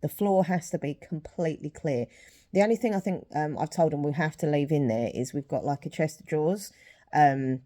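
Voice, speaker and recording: A woman's voice: sits low, moderate pace, warm tone. A young woman chatting casually in a rambling vlog, a little sniffly with a sore throat. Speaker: vlogger.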